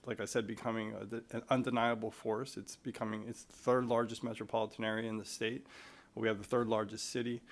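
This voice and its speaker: Man testifying at a hearing, mid-pitched, conversational, restarts with repeated words. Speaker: tourism official testifying.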